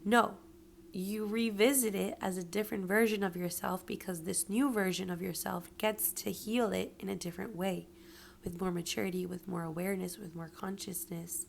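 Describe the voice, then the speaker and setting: A woman with a hoarse, raspy voice and a gravelly edge talks earnestly about pain and healing, encouraging the listener. She often drops to a soft whisper to stress a point. A faint hiss sits under the recording. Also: medium pitch, steady tone, moderate pace. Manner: earnest, motivational, slips into whispers for emphasis. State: hoarse from yelling. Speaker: podcast host. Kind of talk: personal reflective monologue.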